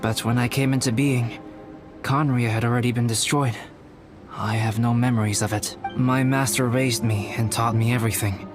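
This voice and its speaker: An actor playing a calm, scholarly young alchemist in a video game, speaking in a measured, formal manner. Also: male voice, medium pitch, music in the background, close to an everyday voice. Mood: grave, measured. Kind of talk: scripted video game dialogue.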